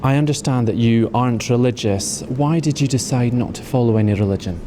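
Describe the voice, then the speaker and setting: A man's voice: mid-range voice, a little fast, background noise. A man working as a reporter, asking brief, direct interview questions.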